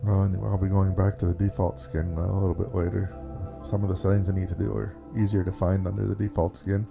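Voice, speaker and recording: A man giving a casual, unscripted software walkthrough, explaining things step by step in an informal way. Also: sits low, level tone, quick pace, gravelly, over music. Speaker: tutorial presenter.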